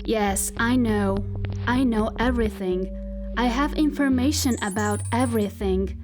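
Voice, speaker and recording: A woman playing a possessive, coaxing spacesuit AI in a science fiction audio drama, speaking deliberately and intimately with careful articulation and a self-satisfied, controlling manner. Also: a little high, lightly acted. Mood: smug, domineering. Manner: slow, deliberate, over-articulated, intimate and insinuating. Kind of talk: theatrical science fiction monologue. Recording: music behind the voice.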